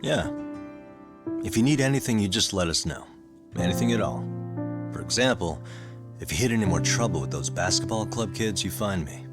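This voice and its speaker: A man's voice: fairly low pitch, expressive, normal speed, pauses often, over loud music, lightly acted. A man playing a composed adult detective in a video game dub, dry, unruffled and wryly skeptical. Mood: reassuring. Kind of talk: video game cutscene English dub acting.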